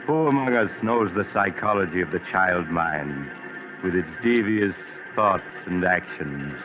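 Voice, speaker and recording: An actor playing an ominous, confiding storyteller narrating a 1940s radio mystery, on an old muffled recording with music. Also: male voice, sits low, animated, a little slow. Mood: ominous, inviting. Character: sinister male mystery host turned retired doctor storyteller.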